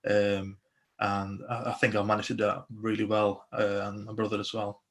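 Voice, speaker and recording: Police constable, young man, low flat delivery, earnest, halting restarts, video call audio. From Slovakia. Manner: earnest, many restarts and fillers.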